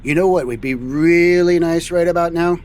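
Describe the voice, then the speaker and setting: A man's voice: a little high, normal speed, a little mellow, lightly acted. A man playing a boyish, easygoing trucker captain in a comedy audio drama, with a casual, playful way of talking. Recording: faint vehicle noise behind.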